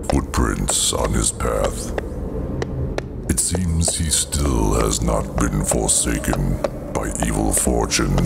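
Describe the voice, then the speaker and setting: A gravelly, whispering skull knight. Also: male voice, extremely low pitch, exaggerated voice. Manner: slow, brooding whisper. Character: ancient skull-faced knight, grim and solemn. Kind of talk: fan motion comic dub. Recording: heavy music underneath.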